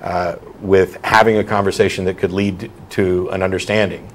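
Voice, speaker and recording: Blunt low-voiced male talk show host. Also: little pitch movement, normal speed. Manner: blunt, argumentative, explains at length. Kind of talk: call-in show chat.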